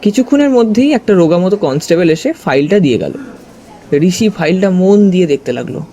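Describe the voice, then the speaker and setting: Man as high, mellow-voiced storytelling companion. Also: animated, brisk. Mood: curious, narrating. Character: detective's curious male companion, narrating the case. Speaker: narrator. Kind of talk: scripted first-person story narration. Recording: music under the voice.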